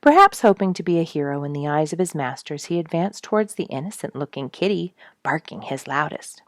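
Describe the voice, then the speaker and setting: A woman reading a nature story aloud as a storyteller, with expressive narration and deliberate, dramatic emphasis. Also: deep voice, dry recording, clean studio sound. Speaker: storyteller reading an audiobook chapter.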